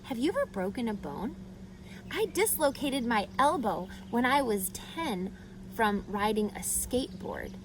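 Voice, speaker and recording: Female children's read-aloud host, very lively intonation, deliberate and questioning, faint noise behind the voice. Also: fairly high pitch. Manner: expressive, deliberate, addresses child listeners directly.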